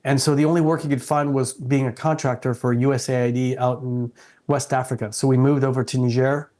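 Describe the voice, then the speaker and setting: Casting: middle-aged Vietnamese-born man, earnest interview guest with a level, flat tone. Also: medium pitch, fairly quick. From South Vietnam. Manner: earnest, respectful, frequent restarts.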